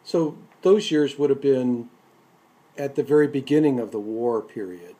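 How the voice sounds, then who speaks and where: A man hosting a radio program, introducing a guest and asking measured questions. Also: medium pitch, dark tone.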